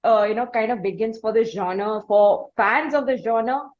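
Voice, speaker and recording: A woman with an Indian accent hosting a music interview, asking long, halting questions that she rephrases as she goes. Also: a little high, lo-fi sound. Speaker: interviewer, podcast host.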